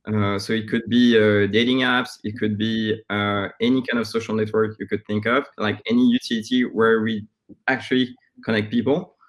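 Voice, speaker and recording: A man in his thirties from France with a French accent, giving halting, conversational answers as a startup founder being interviewed. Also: fairly low pitch, little pitch movement, poor audio. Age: 30s.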